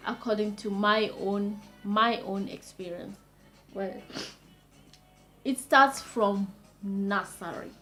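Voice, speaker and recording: Brief: young woman from Kenya, vlog host, slow and gushing, faint music under her voice. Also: fairly high pitch, fairly bright tone. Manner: enthusiastic, repeats superlatives, unhurried with pauses. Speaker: vlogger. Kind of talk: casual talking-to-camera vlog.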